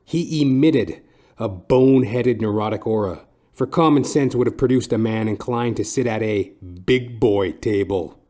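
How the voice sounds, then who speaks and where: A man playing a sardonic, world-weary private detective, narrating deadpan in hard-boiled style for an audio dramedy. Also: medium pitch, normal speed, lightly acted. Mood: scornful narration. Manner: wry, deadpan wisecracking. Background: music behind the voice.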